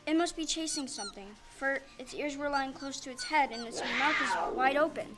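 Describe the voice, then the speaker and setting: A woman playing a kind, gentle tin woodman in a stage audio play, earnest and courteous in delivery. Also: high-pitched, a little fast, natural voice. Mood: alarmed. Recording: live stage recording with music.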